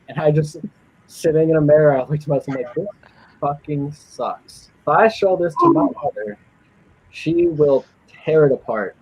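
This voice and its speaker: Young man, husky voice, lo-fi sound. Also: a little high. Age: young adult. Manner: self-deprecating, laughs often. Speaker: guest writer, college student. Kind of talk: casual chat.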